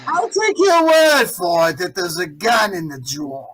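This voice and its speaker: A man playing a suave, pompous escaped-convict crime boss in a 1940s radio drama, drawling with mock courtesy in a husky voice. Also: high voice, wildly swooping pitch, a little slow, fairly bright tone, heavily acted. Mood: gloating. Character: suave, theatrical, gloating male escaped-convict villain and gang boss.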